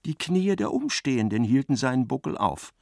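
A man narrating a literary radio drama as a wry, intense storyteller, expressive and precise, with a creaky voice. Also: medium pitch, moderate pace, no room sound, clean studio sound. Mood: dry, dramatic.

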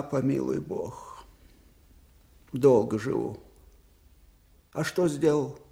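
An actor playing an aged, melancholy and eccentric Russian field marshal in a radio drama, speaking in halting, broken phrases. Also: male voice, mid-range voice, vocal fry, a little mellow, heavily acted. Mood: weary, brooding. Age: older. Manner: brooding, halting, broken phrases with sudden outbursts. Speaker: actor in the lead part. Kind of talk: Soviet radio drama acting.

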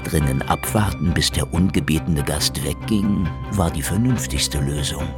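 An actor playing a cynical, sardonic, menacing Soviet private detective who also narrates, in a hardboiled radio crime drama. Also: male voice, extremely low pitch, unhurried, over music. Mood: wry, brooding. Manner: sardonic, world-weary.

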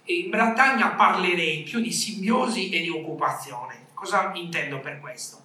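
A man giving an academic conference talk in a halting way, often repeating words. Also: sits high, pushed and bright. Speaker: conference speaker. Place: echoey conference room. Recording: very echoey room, distant microphone.

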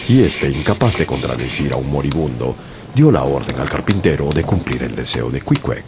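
Sailor-narrator: very deep, measured, radio drama with music. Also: male voice, background noise. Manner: measured storytelling. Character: young sailor narrating his voyage.

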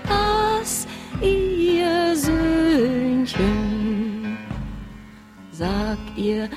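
High female voice singing a slow lament. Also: fairly even intonation, loud music behind the voice. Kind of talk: slow sung ballad, lament.

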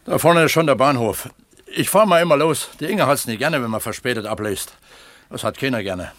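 A man's voice: mid-range voice, fairly quick, fairly bright tone, no echo, natural voice. Blunt older working man played by an actor, dry sound. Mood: curt, dismissive. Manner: plain-spoken, terse. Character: proud, blunt older male pump attendant and amateur writer. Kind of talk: 1970s East German radio drama acting.

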